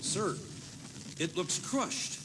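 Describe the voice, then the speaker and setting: An actor playing an anxious, deferential Union sergeant in a dramatized history tour. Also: male voice, high voice, expressive, extremely slow, heavily acted. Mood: alarmed, worried. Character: anxious, deferential army sergeant tending his wounded commander. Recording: very noisy old cassette tape.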